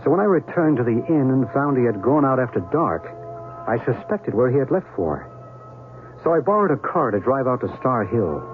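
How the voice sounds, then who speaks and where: An actor playing a composed, articulate, concerned investigator narrating a radio drama. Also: male voice, medium pitch, big pitch swings, moderate pace, soft background music, lightly acted. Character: concerned male investigator recounting events. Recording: narrow-band old radio recording.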